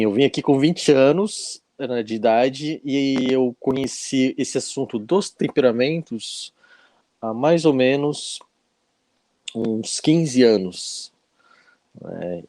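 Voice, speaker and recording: A man in his forties from São Paulo with a São Paulo Brazilian accent, talking as a guest on a casual video-call live chat, friendly and unhurried. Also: medium pitch, dark tone, no echo. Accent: Brazilian Portuguese, São Paulo. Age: 40s. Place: at home in Japan, morning.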